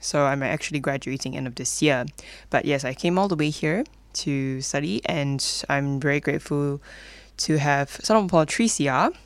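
A woman's voice: low-pitched, normal speed, no room sound. A young woman from Malaysia casually presenting a music show on community radio. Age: young adult.

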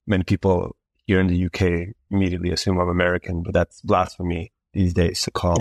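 A man in his thirties, born in Canada, answering interview questions as a psychologist in a thoughtful, measured way with some fillers. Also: low-pitched, no room sound. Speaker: guest psychologist.

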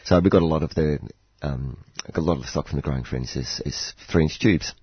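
An Australian man, croaky from hay fever, chatting on a radio garden show with lots of restarts and chuckles. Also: very deep voice, fast talker, no echo, highs cut off. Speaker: horticulturalist radio guest. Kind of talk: casual radio chat.

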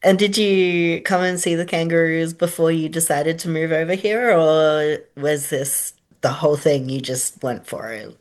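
A woman's voice: low voice, fairly even intonation, measured pace, hardly any pauses. A woman hosting a community radio interview, asking curious questions and answering with short encouraging affirmations.

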